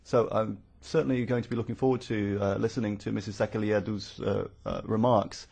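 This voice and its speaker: A man working as a conference interpreter, rendering formal speeches live in a halting, phrase-by-phrase way. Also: on the low side, level tone, gravelly, darkish tone.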